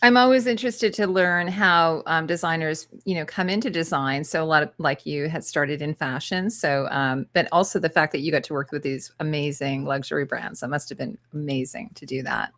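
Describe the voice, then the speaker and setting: A woman with a slightly low voice hosts an interview over a video call. She sounds upbeat and enthusiastic and talks straight to her viewers. Her delivery is chatty and relaxed. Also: a little fast, few pauses. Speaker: interview host. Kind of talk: friendly channel interview.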